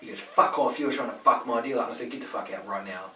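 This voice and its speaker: A man hosts a casual podcast, talking fast in a bright, forceful voice. He tells his stories with plenty of swearing and acts out the people in them. The sound is roomy, with the mic set back from him. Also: mid-range voice. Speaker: podcast host. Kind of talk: casual chat. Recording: echoey room, mic at a distance.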